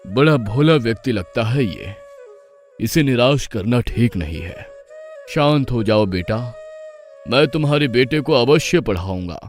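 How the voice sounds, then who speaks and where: A man voicing a kindly old village guru in a moral-story cartoon, calm, formal and benevolent. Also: mid-range voice, a little slow, faint music behind, lightly acted. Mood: kindly, reassuring.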